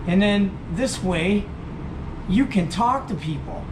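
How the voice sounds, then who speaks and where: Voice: mid-range voice, animated, slow. A host giving a casual, hands-on beginner tutorial in a folksy way, repeating the basic points. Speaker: host demonstrating radio gear. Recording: roomy echo with clear background noise.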